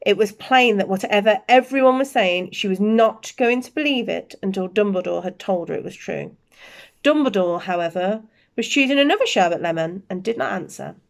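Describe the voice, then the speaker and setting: A woman narrating a bedtime story in an expressive storytelling style. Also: medium pitch, normal speed, gravelly.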